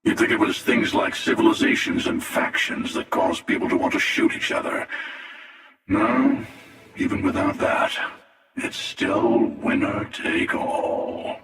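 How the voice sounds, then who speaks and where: A man voicing a cynical, world-weary hunter in a video game, gravelly, sardonic and theatrical, with amused menace. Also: mid-range voice, wildly swooping pitch, a little slow, a bit bright, heavily acted. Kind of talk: scripted video game character dialogue. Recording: cavernous echoing reverb, distant.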